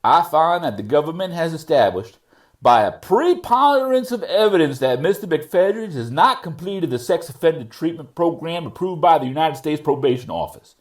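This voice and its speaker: A man plays a judge delivering a formal courtroom ruling. His voice sits a little high and moves widely in pitch, while the phrasing stays deliberate and measured, as in a staged reading of a transcript. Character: male judge ruling at a probation revocation hearing. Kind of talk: courtroom readers theater reading.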